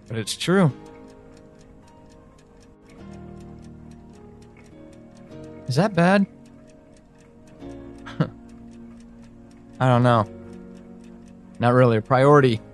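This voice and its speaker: An actor playing an anguished, pleading, devoted man in an audio drama monologue, speaking haltingly and emotionally. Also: medium pitch, expressive, soft background music. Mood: earnest, longing. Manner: halting, hesitant, emotional, with sighs and pauses.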